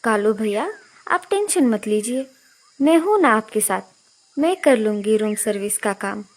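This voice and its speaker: A woman's voice: a little high, expressive, measured pace. A woman voicing a caring, eager little female bird who reassures others in a children's cartoon story. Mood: reassuring. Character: helpful, caring little female sparrow, loyal sister.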